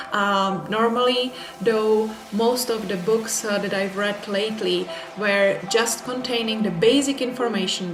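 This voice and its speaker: A woman with a Czech accent, a native Czech speaker talking in English, casually reviewing a book with many hesitant fillers and repeated words for emphasis. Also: medium pitch. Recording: echoey room with background music. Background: music.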